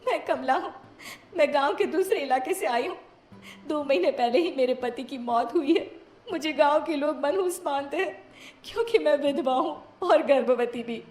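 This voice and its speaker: A woman's voice: high voice, normal speed, pauses often, darkish tone, reverberant, close to an everyday voice. A woman playing a sorrowful, shunned young widow in a cartoon moral story, with a plaintive manner.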